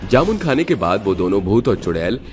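A man's voice: lowish voice, very animated, fairly quick. A man narrating a scripted cartoon story.

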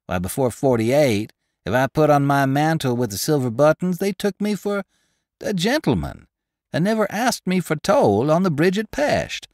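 A man's voice: medium pitch, a little mellow, no room sound, studio quality, voice pushed up. A man voicing a boastful middle-aged gingerbread seller in an audiobook, speaking in a bragging, indignant way.

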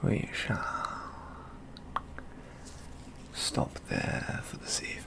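A young man performing a soft-spoken ASMR roleplay, breathy and mostly whispered, with slow, mannered, genteel and theatrical phrasing. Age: young adult. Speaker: ASMR roleplay performer playing a model painter. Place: indoor studio with plain backdrop.

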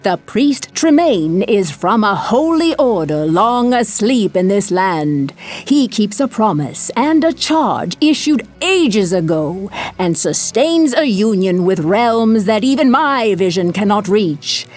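A woman voicing a cryptic, sardonic village witch in a fantasy video game, measured and sly. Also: medium pitch, very animated, a little mellow. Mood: mysterious, solemn. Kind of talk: video game character acting.

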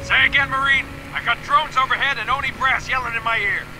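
An actor playing a harried, commanding military colonel giving brisk orders in a video game. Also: male voice, very high voice, steady tone, over music, poor audio. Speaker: commanding officer.

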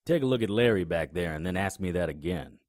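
An actor in an audio drama playing a cynical, sardonic, hard-boiled private investigator with a terse delivery. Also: male voice, sits low, expressive, fast talker, a little mellow, no room sound. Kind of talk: audio drama acting with noir narration.

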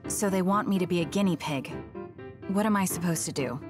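A woman's voice: fairly low pitch, a little fast, close to an everyday voice. A woman playing a cool, blunt young soldier in an anime game, terse and matter-of-fact. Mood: cool, wary. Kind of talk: English anime-game voice acting. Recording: music behind the voice.

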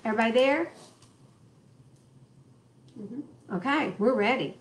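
A woman's voice: a little high, unhurried, slight background noise. A woman leading a home Bible study, stressing words and joking with the group, recorded by a camera in a living room. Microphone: far camera in the room.

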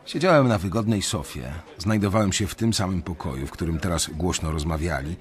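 A young banker telling his own story in a radio drama. His voice is fairly low, his delivery measured, introspective and uneasy. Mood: uneasy, reflective. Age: young adult. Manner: measured, reflective. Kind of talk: first-person radio drama narration.

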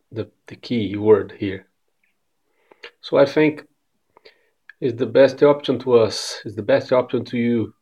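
A man in his thirties from Brazil, with a Brazilian Portuguese accent, sharing a short devotional message in careful non-native English, often repeating words, recorded on a phone at arm's length in a bright room. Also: medium pitch, breathy edge, highs cut off. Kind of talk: casual devotional message to camera. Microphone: phone camera at arm's length.

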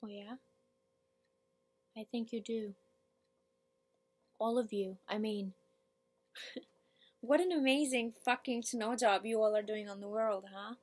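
A woman performing a theatrical monologue as a hurt, defiant, foul-mouthed young working-class woman, repeating herself haltingly as she builds. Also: on the high side. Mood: bitter sarcasm. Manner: halting and repetitive, building to outbursts, swearing.

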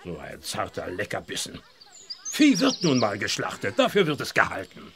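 An actor playing a blunt, grumpy old farmer in a children's audio drama, gruff, dismissive and gravelly. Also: male voice, lowish voice, huge pitch swings, moderate pace, a bit bright, slight background noise, exaggerated voice.

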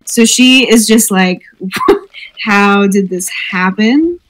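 A woman playing a tabletop roleplaying game, thinking out loud as she goes. She rambles through her plans, full of fillers and self-corrections, at an ordinary mid pitch. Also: animated, slow, rough recording. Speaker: player. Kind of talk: tabletop roleplay over voice chat.

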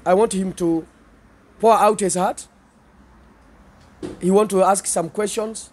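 High-voiced middle-aged Kenyan pastor. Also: male voice, steady tone, slow, a little mellow, slight background noise. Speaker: pastor being interviewed. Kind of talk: earnest interview talk. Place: seated indoors in a small studio set. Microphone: handheld interview microphones held by the interviewer.

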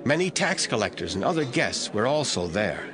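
A man narrating a scripture audio drama from a script in a deliberate storytelling manner. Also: medium pitch, very animated, a crowd in the background. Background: faint music.

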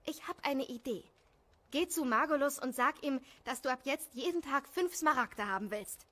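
A woman plays a demanding young village wife in an audio story. Her voice is high, and she bosses her husband around. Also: moderate pace, sharp tone. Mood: insistent.

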